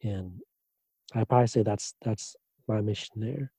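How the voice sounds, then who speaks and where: Man, very mellow dark tone, lowish voice, hesitant interview answers, dry sound. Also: measured pace, breathy edge, no room sound. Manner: hesitant, many fillers and restarts. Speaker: interviewee, doctoral student.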